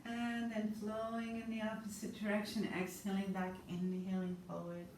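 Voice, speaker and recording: A woman instructor guiding a yoga class with slow, soothing, calm cues. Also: mid-range voice. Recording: roomy, distant mic with faint noise.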